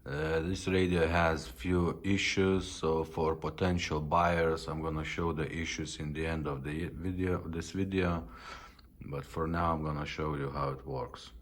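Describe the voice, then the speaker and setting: A man's voice: very deep voice, flat delivery, measured pace. A man giving a casual, plain-spoken product demonstration as a video host selling an item. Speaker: video host and car radio seller.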